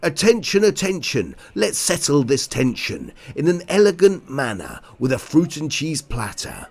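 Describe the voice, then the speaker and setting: A man's voice: on the high side, very animated, a little slow, vocal fry, darkish tone, voice pushed up, exaggerated voice. Man as a raised-voice raccoon waiter, announcing. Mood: urgent, diplomatic. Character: polite raccoon waiter calling for calm.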